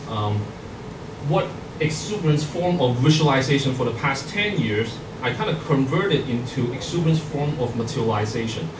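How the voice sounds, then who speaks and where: A middle-aged man from Hong Kong giving a workshop presentation, speaking haltingly with many fillers and restarts. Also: medium pitch. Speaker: guest speaker. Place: conference hall. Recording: echoey hall, far from mic, noisy.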